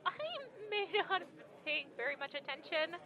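A woman's voice: high-pitched, moderate pace, a crowd in the background, lightly acted. A woman playing an anxious, scatterbrained young woman in an audio drama, hesitant and stammering. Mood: timid, hesitant. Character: anxious, flustered, scatterbrained young female policyholder.

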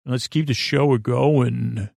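Male sleep podcast host, middle-aged, mid-pitched and croaky, silly meandering monologue with repeats and unfinished thoughts. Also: fairly even intonation, unhurried. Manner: silly, whimsical. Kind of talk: rambling bedtime monologue.

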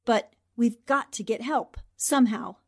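An actress playing a resolute, quick-witted newspaperwoman in a 1940s-style radio drama. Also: on the high side, a little mellow, husky. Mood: urgent, resolute. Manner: decisive, urgent instructions. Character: Anne Rogers, quick-thinking, resolute newspaperwoman heroine.

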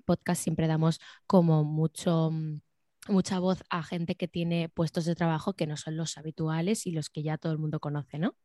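A woman's voice: sits low, mellow, no echo, studio quality. A woman from hosting a conversational podcast interview, chatty and feeling her way through her phrasing. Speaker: podcast host.

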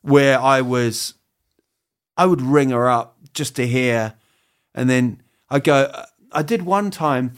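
An English comedian in his fifties talks about himself with a rambling, self-mocking flow. He keeps stopping and restarting, and slips in quick put-on voices for his jokes. His accent is English, with a faint New Zealand touch. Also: mid-range voice, smooth. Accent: English with a bit of New Zealand. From England. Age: 50s. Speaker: comedian, interview guest. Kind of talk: candid, self-mocking interview chat.

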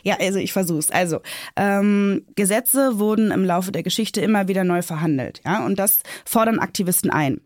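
A woman plays a reflective police officer in an audio drama interview. She explains carefully with halting repeats, in an ordinary mid-pitched voice. The sound is dry and close. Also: a little mellow, no room sound, natural voice. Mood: earnest. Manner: hesitant, explanatory, repeats words, tags with "ja". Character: thoughtful, self-critical female police officer being interviewed. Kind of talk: scripted radio drama interview.

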